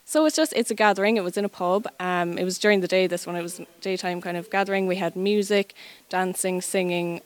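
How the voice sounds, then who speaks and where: A woman's voice: fairly low pitch, fairly quick, few pauses. A young Irish woman in her twenties, a student teacher, chatting easily with fillers as a guest on a live radio interview. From Ireland. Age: 20s. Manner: chatty and easygoing, many fillers. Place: school, live radio broadcast.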